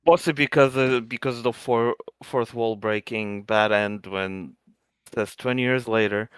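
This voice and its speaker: A man giving casual, joking commentary on a game, a bit halting and repeating words as he makes his point. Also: mid-range voice, steady tone, clean tone, dry recording.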